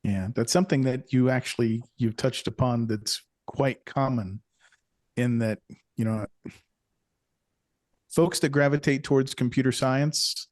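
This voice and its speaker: A man's voice: mid-range voice, unhurried, long pauses, darkish tone, no echo. A middle-aged man co-hosting a podcast, reflective and conversational, with frequent you-knows. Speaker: podcast co-host.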